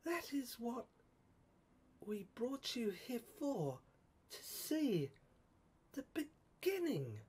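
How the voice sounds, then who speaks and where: Breathy, insistent mystical spirit woman acted by a woman. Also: on the high side, huge pitch swings, extremely slow, long pauses, darkish tone, exaggerated voice. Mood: solemn, revelatory.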